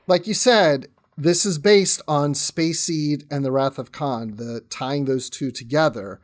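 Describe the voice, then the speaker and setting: A man's voice: fairly high pitch, unhurried. A man chatting as a guest in a casual fan podcast discussion, conversational with tentative asides.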